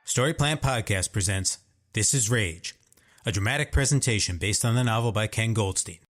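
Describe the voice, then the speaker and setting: A man's voice: fairly low pitch, animated, moderate pace, fairly bright tone, soft background music. A man reading a scripted title announcement for an audio drama.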